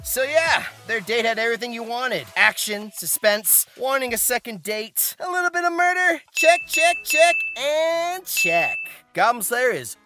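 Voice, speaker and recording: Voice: mid-range voice, expressive, measured pace, few pauses, over music. A host giving theatrical, comedic scripted narration about anime, with exaggerated mock outrage and playful asides. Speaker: host of anime video essay.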